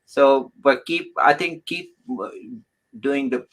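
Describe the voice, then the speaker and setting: A man hosting an interview who talks haltingly, restarting his sentences and adding encouraging remarks. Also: medium pitch, a bit bright. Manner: halting, with many restarts and filler words. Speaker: host.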